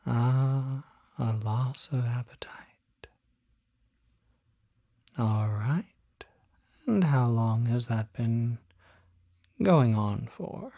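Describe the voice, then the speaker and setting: A calm demon doctor acted out by an ASMR performer speaks very slowly in a soft, dark-toned murmur that often slips into a whisper. The questions are gentle and deliberate, with pauses between phrases, in a close, dry ASMR roleplay. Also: mid-range voice, dry recording, lo-fi sound. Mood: calm, attentive. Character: calm, attentive demon doctor treating a patient.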